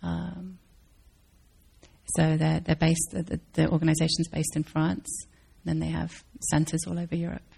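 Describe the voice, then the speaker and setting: Low, gravelly-voiced Zen teacher woman. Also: monotone, moderate pace. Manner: thoughtful, searching, with restarts and soft laughs. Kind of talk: dharma talk Q&A answers.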